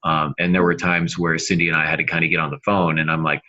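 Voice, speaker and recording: A man co-hosting a casual podcast discussion, speaking in a halting way with self-deprecating humor, on slightly dull audio. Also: extremely low pitch, level tone, pushed and bright. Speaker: podcast co-host and novelist.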